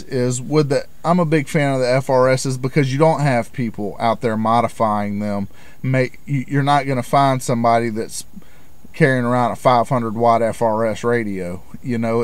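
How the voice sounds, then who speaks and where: A middle-aged American man giving an informal, plain-spoken explanation in the manner of a hobbyist vlogger. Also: mid-range voice. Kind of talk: informal explanatory talk to camera.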